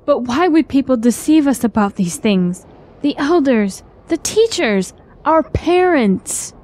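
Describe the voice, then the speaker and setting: An actress playing an anxious, questioning traveler in a fantasy radio drama, with formal, earnest phrasing. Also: female voice, sits high, expressive, slow pace, a little mellow. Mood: troubled, questioning.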